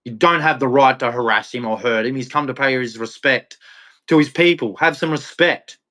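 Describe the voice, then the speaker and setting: A man's voice: mid-range voice, normal speed, sharp tone. Blunt Australian man ranting. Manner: blunt, confrontational; preachy religious sign-off. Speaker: live streamer. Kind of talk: ranting monologue to chat.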